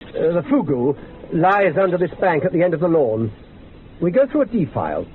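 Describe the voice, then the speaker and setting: An actor in a radio drama playing an eloquent, grimly fascinated man who speaks in a deliberate, literary, theatrical way. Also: on the high side, very animated, soft background music. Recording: muffled phone-like sound with faint noise.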